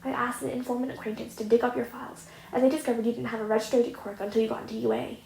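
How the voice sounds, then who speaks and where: A woman's voice: a little high, flat delivery, rapid-fire, gravelly, darkish tone. Woman playing petulant scraggly male villain, echoey. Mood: awkward, whiny. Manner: indignant, hesitant, repeating himself. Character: scraggly adult male villain, petulant, easily offended, awkward. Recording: echoey room, audible noise.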